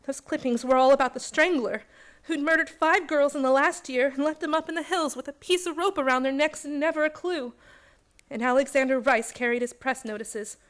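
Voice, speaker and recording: Woman acting a high-voiced young female con artist. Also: fairly quick, close to an everyday voice. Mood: tense, confiding. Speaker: actor in a community radio play. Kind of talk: noir radio play, first-person narration and dialogue.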